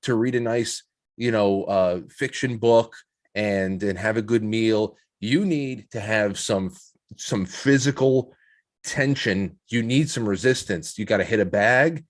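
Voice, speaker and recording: A man with a fairly low voice talks in a reflective, conversational interview style. He feels his way into each point, often repeating a word or two before moving on, like a podcast guest thinking aloud. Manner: halting, repeats words as he builds points. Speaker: podcast guest, show host.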